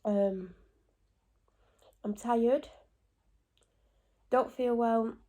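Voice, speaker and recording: A woman's voice: fairly high pitch, steady tone, warm tone. Stuffy woman with a cold, rambling vlog chat at home, lots of little asides. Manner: rambling, talks in quick asides and self-corrections. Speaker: vlogger. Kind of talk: casual chatty vlog.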